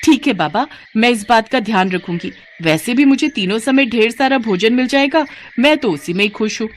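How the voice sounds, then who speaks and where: A woman's voice: fairly high pitch, lively intonation, normal speed, a little hiss or hum, close to an everyday voice. Woman playing a whiny, gluttonous young daughter-in-law. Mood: pleased. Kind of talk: cartoon moral story.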